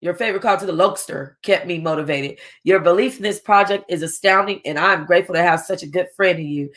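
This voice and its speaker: A woman's voice: on the low side, fast talker, fairly bright tone. A woman book club host reading a written text aloud.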